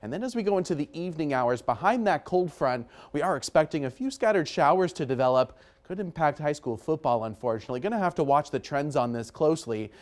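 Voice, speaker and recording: A man's voice: a little high, animated, fast talker, hardly any pauses. A man presenting an ad-libbed TV weather forecast in a conversational, informal way.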